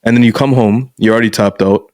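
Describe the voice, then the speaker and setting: A man's voice: lowish voice, steady tone, quick pace, darkish tone, no echo, studio quality. A young man who grew up in Canada, a guest coach talking frankly and casually in a podcast conversation and often calling the listener "bro.". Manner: frank, blunt, peppers speech with "bro".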